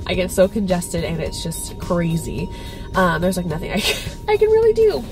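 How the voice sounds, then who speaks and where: A woman's voice: lowish voice, huge pitch swings, moderate pace, music in the background, rough recording. A woman in her thirties, stuffy and congested, chatting casually to her phone camera from her car and kitchen with lots of ums and little laughs. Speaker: vlogger. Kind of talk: casual vlog chat. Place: parked car, then home kitchen. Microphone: phone camera at arm's length.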